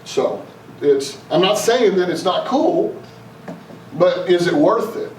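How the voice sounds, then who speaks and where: Homme texan à un séminaire. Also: male voice, sits high, expressive, slow, darkish tone. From East Texas. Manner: folksy, rhetorical questions to the room. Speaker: investment speaker. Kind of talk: live seminar talk to an audience. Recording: roomy, distant, faint noise.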